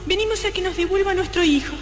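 A man's voice: very high-pitched, fast. A man voicing a dramatic young aristocratic mistress and mother in a comedic radio play. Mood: pleading. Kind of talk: comedic radio play acting, falsetto female role.

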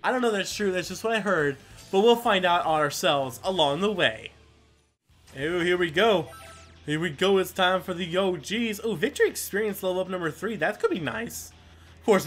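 A man's voice: high voice, a bit bright. A man giving casual, rambling gameplay commentary as the host, self-deprecating and given to mild swearing. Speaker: gaming video host.

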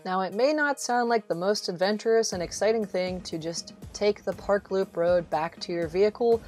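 A woman's voice: medium pitch, normal speed, darkish tone. Woman narrating a trail guide over music. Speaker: travel vlog host narrating a hiking guide. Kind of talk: casual instructional voice-over narration. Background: background music.

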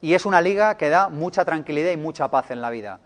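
Spanish man, slightly higher voice, lively seminar speaker, teasing the room. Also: quick pace. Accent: Spanish from Spain. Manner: playful, joking, chatty. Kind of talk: live seminar talk to an audience.

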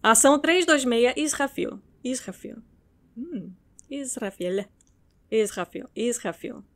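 High-voiced Brazilian woman narrating. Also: slow. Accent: Brazilian Portuguese. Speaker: narrator of horror fiction records. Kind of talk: scripted narration outtakes with casual asides.

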